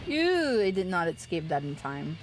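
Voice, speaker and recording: A woman livestreamer chatting casually as she plays a video game, expressive and playful, given to exclamations. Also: fairly low pitch, moderate pace. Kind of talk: casual live gameplay chat and commentary. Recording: game music behind the voice. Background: video game music.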